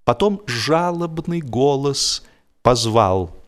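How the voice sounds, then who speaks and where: A man reading a humorous audiobook in an expressive, measured style with theatrical pauses. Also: mid-range voice. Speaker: audiobook narrator. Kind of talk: expressive humorous scripted narration.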